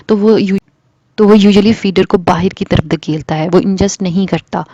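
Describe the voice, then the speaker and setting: A woman's voice: on the low side, mellow, no echo. A woman presenting baby-care advice to parents, explaining patiently step by step and mixing in English phrases. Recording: dull lo-fi dry sound.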